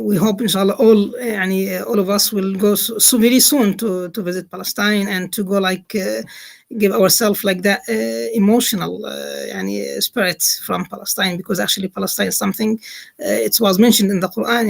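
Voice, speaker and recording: Guy asking questions, very gravelly fry, lots of uh and yani, stop-start. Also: high-pitched. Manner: hesitant, many fillers and restarts, Arabic religious phrases. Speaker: interviewer. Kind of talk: casual interview.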